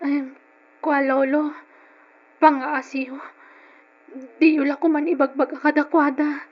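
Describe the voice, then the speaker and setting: A young woman with a very high voice and an Ilocano accent. She is anxious and pleading, in the emotional manner of old radio drama. The sound is dull and lo-fi. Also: flat delivery, takes it slowly. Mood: hesitant, evasive.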